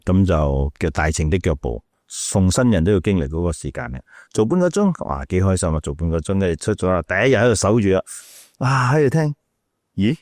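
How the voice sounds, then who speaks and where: A man's voice: deep voice, big pitch swings, a little fast, a little mellow, dry recording, studio quality. A middle-aged man with a Malaysian Cantonese accent telling stories casually as an interview guest, acting out quotes and repeating words as he goes. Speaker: radio DJ and podcast guest.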